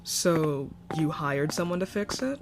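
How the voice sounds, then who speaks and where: A man's voice: a little high, lively intonation, a little slow, vocal fry, over music. A man playing a naive, indignant, teasing nineteen-year-old prince in a fantasy audio drama.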